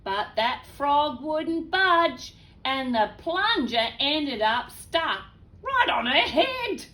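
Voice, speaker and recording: A woman reading a children's story aloud in an expressive way, with chatty, exclaiming asides to young listeners. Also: high-pitched, slow.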